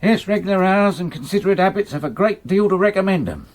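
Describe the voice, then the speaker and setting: An actor playing a wry, meticulous English manservant in a radio drama, speaking formally and precisely with dry, long-suffering patience. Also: male voice, high-pitched, animated, fast talker. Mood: measured, confiding. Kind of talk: British radio drama acting.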